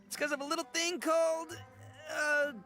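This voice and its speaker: An actor playing a brash, boastful young horned oni gang boss in a video game, swaggering and theatrical. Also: male voice, very high voice, level tone, normal speed, sharp tone, soft background music. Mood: sheepish bluffing.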